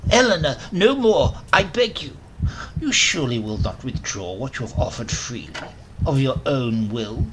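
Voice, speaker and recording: A man voicing an exalted, ruthless male scientist in a horror story, with slow, drawn-out, imperious delivery. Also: medium pitch, very animated, noisy background, natural voice. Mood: pleading.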